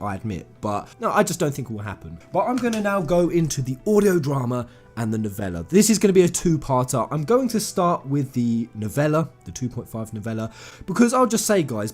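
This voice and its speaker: A man's voice: mid-range voice, a little mellow, breathy edge. A man hosting a video game channel, giving informal opinion commentary in an emphatic, slangy way with effusive fan enthusiasm, speaking straight to viewers.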